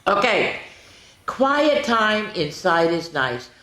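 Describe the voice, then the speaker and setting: A mature woman reads picture books aloud to young children in a roomy, echoing space. Her pace is slow, with key words drawn out. She is animated and often breaks off to speak straight to her young listeners. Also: medium pitch. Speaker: children's storytime host. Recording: roomy, echoey room sound.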